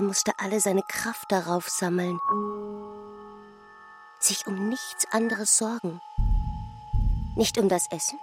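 Woman performing inner-voice narration, slow, hushed, brooding, fragmentary, clear music underneath, literary drama. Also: medium pitch, lightly acted. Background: music behind the voice.

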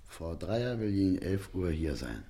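Low, husky, deferential man. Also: big pitch swings, unhurried, dark tone, heavily acted. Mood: worried. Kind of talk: theatrical period comedy acting in German.

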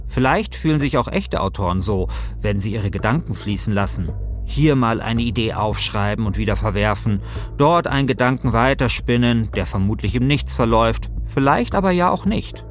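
A man's voice: mid-range voice, lively intonation, moderate pace, soft background music. A man, narrating a podcast from a script as its host.

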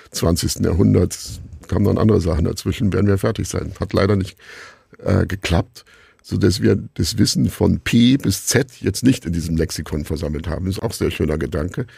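A middle-aged man giving reflective answers as an interview guest, musing and haltingly searching for words. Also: low-pitched, gravelly, dark tone, breathy edge, no echo, clean studio sound. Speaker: interview guest, radio play maker.

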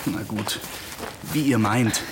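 A timid boy detective in a children's mystery radio play. He is anxious and fretful, always on the edge of panic. The recording has clear noise behind him. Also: lowish voice, slow pace. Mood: worried, grumbling. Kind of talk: children's mystery audio drama acting.

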